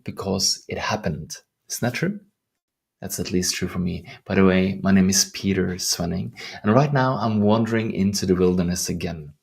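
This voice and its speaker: Low gravelly Norwegian-accented man. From Norway. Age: middle-aged. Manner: soft-spoken, earnest, unhurried with searching restarts. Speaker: life coach. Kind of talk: reflective vlog monologue. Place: outdoors in the wilderness.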